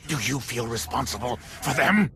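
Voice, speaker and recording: An actor playing a solemn mystic elder in a video game, speaking formally and gravely as if administering a trial. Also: male voice, medium pitch, animated, normal speed, lightly acted. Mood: accusing, solemn. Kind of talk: dramatic video game acting.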